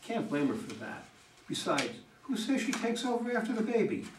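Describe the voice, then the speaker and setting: Heard from afar in an echoey room, an actor plays a blunt male campaign manager. His voice is fairly high and he pushes his case emphatically, like someone on stage. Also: big pitch swings, moderate pace. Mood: persuasive. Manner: emphatic, exasperated, urging. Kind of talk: staged play reading. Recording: echoey room, distant, noisy.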